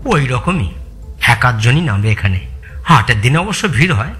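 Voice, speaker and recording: An actor playing an older man, a loyal employee, brisk and plain-spoken, in a Bengali audio story. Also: mid-range voice, big pitch swings, fairly bright tone, faint music behind. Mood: matter-of-fact. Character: Jashoda, older man, rural businessman's loyal longtime employee.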